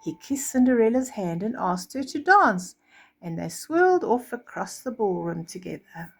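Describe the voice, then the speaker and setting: A woman reads a children's storybook aloud in a medium-pitched voice. Her delivery is expressive and animated, and she slips in chatty asides to her young listeners as she goes. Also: normal speed, gravelly. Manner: animated, acts out reactions. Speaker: storyteller reading a children's fairy tale.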